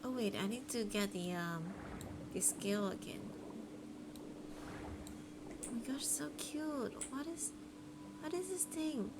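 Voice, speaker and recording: A woman livestreamer reacting to a game and chatting casually to viewers in an excitable, gushing manner. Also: on the high side, takes it slowly, long pauses, heavy background noise.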